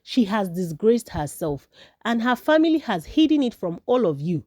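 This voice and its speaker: Smug, envious village man, mellow played by a woman. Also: medium pitch, sing-song, moderate pace, no echo, studio quality. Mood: sinister, accusing. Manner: smooth, confident, accusing. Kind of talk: storybook character voice.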